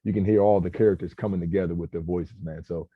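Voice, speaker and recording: A man's voice: low-pitched, steady tone, slightly rough, dry recording. A man in a casual feedback chat, stumbling through restarts and dropping "man" into nearly every sentence. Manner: halting restarts, keeps saying "man". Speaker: cast member of a table read. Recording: dull, dry lo-fi audio.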